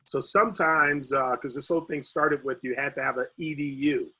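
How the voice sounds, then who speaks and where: Husky-voiced man losing his voice. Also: medium pitch, bright, forceful tone. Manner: halting, many fillers and restarts. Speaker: university recreation administrator. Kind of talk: video call panel remarks. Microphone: video call.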